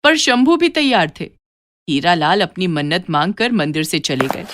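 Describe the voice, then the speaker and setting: A woman's voice: fairly low pitch, big pitch swings, moderate pace, heavy background noise. A woman narrating a scripted moral story for children as a storyteller.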